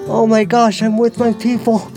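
A man's voice: sits high, monotone, moderate pace, music in the background. An American man in his late teens talking casually as an interview guest. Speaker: interview guest, high school senior.

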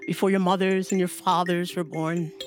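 A woman telling a story to children in an animated, rhythmic way, drawing out words with playful emphasis. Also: on the low side, moderate pace, faint music behind.

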